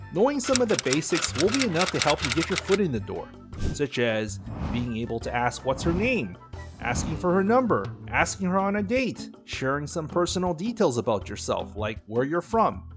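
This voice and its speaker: Guy doing a scripted vlog voiceover, kinda high voice that bounces around a lot, faint music under it. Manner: steady, instructive, advice-giving delivery. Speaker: dating and language vlogger. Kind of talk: scripted voice-over narration.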